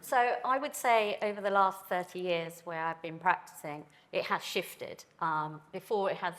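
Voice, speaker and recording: Woman in her 50s on a conference panel, lowish voice, long echo from the hall, calm explaining tone. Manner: measured, explanatory. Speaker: immigration panelist.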